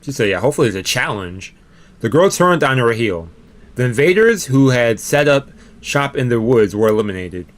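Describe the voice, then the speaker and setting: A man's voice: mid-range voice, very animated, normal speed. A man live-streaming a read-aloud of a visual novel, stumbling over words now and then and dropping into casual asides. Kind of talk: live let's-read narration with casual reactions. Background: game music.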